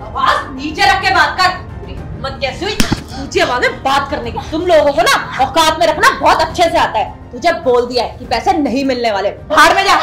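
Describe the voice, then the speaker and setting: Woman acting a haughty, high-pitched bullying customer. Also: animated, fairly quick, hardly any pauses. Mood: angry, threatening. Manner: scolding, contemptuous, taunting. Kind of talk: melodramatic scripted Hindi skit acting. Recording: roomy echo, faint music behind.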